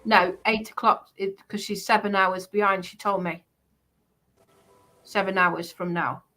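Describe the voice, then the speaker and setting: Bright-voiced woman hosting a casual stream. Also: mid-range voice, fairly even intonation, unhurried. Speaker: live stream host. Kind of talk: casual live stream chat.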